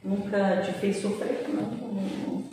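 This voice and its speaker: A woman hosting the interview, asking gentle, probing questions. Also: medium pitch, steady tone, slow. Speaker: interviewer, event host. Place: library event room. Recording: echoey room, far from mic, audible noise.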